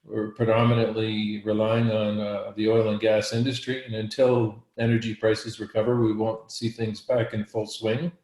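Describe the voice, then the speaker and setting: A hoarse man who is losing his voice gives a real estate market update as an investor agent, clearing his throat and restarting his sentences often. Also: fairly low pitch, flat delivery, normal speed, hardly any pauses, darkish tone. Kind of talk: market update in a live panel. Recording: roomy echo.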